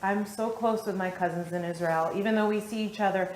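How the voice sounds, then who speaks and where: A woman's voice: mid-range voice, fairly even intonation, brisk, a little mellow, slight background noise. Effusive woman in an echoey room. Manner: effusive, run-on sentences. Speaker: family member giving a speech. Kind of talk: informal speech.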